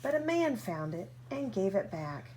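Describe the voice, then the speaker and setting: Woman reading a bedtime story, slow and animated. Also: medium pitch, low-quality recording. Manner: deliberate, dramatic phrasing with drawn-out key words. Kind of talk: expressive children's picture-book read-aloud. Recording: home recording with faint background noise.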